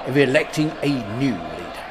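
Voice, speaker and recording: A man's voice: medium pitch, big pitch swings, normal speed, close to an everyday voice. A man playing an embattled, defiant Prime Minister, making a formal, declamatory political speech in a radio drama. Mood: solemn, defiant. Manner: formal, declamatory, self-justifying. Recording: noisy chamber with crowd murmur.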